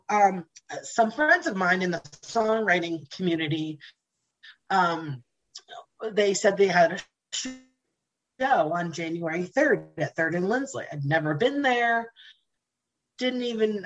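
A woman guest in a casual interview, telling candid, animated stories, swearing casually and laughing often. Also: fairly low pitch, a little slow, dull audio. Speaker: interview guest, blues jam host.

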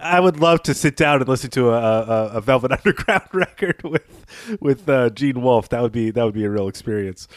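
A man co-hosting a podcast, talking through literary analysis in a conversational, measured and analytical way. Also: mid-range voice, lively intonation, no echo, clean studio sound. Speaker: podcast co-host.

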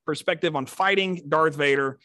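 A man with a Texan accent, co-hosting a casual podcast chat, excitable and rambling, often laughing. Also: a little high, moderate pace, no room sound, clean studio sound. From Texas. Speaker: podcast co-host.

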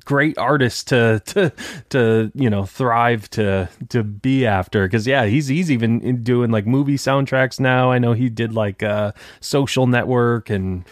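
A man's voice: medium pitch, a little slow, few pauses, no echo. A man interviewing a guest, affirming her answers and asking questions with many restarts. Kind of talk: casual interview.

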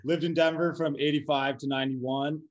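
A man's voice: fairly high pitch, fairly even intonation, normal speed, vocal fry, muffled recording. A man in his thirties from Colorado, talking casually as a podcast guest, his voice a little scratchy. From Colorado, USA. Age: 30s. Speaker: guest, business co-owner. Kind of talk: casual chat.

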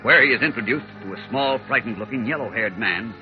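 A man's voice: medium pitch, fast. Old radio show narrator guy, big dramatic delivery, dull recording, music blasting behind. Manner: grand, suspenseful. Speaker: announcer and narrator. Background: loud dramatic music.

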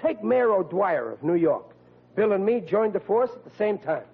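A blustering, big-talking but kindly husband in an old radio comedy. His voice sits fairly high and is rough and husky. He talks in folksy, ungrammatical bursts, and the recording is thin and tinny. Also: very animated, normal speed, heavily acted. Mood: boastful. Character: blustering, boastful, dim but good-hearted husband. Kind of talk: 1940s radio comedy acting.

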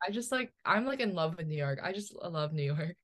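Female guest, twenties, casual and laid-back, lower pitch, lots of "like". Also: lively intonation, brisk, fairly bright tone. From Philippines, raised in New Jersey. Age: 20s. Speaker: guest, model and college student. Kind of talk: casual interview chat.

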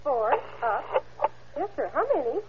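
High-voiced woman cashier. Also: very animated, measured pace. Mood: businesslike. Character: female theater box-office cashier. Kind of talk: 1930s radio drama acting. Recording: muffled old radio, faint noise.

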